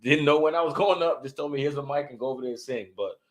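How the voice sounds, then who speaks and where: A man's voice: medium pitch, quick pace. A man in his thirties, a musician guest in a casual interview, laid-back, laughing often and repeating phrases. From Silver Spring, Maryland.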